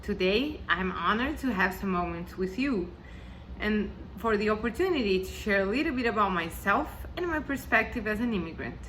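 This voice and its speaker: A woman's voice: mid-range voice, animated, normal speed, a bit bright, slight background noise, low-quality recording. A woman raised in Argentina and Brazil, with a South American accent and Spanish as her first language, giving a prepared, reflective talk as a guest speaker. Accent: South American Spanish and Portuguese. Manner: earnest, carefully read, reflective.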